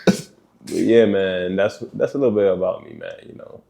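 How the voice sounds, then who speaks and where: Low-voiced young man from Congo. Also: moderate pace, gravelly. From Congo, raised in South Africa. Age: young adult. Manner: relaxed, trails off softly, laughs a lot. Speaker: podcast guest. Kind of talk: casual chat.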